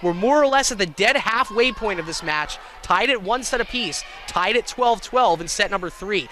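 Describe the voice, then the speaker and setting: A man calling live college volleyball play-by-play in an excitable, self-deprecating way. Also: high-pitched, normal speed. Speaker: play-by-play sports commentator. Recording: clear gym noise, bouncing balls. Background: indoor volleyball match ambience.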